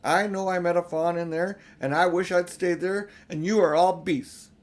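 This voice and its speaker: A man's voice: high voice, steady tone, normal speed, pitched above the performer's own voice, natural voice. A man reading a storybook, voicing a hurt little girl who is stubborn and insistent. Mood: defiant, upset. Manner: defiant, insistent.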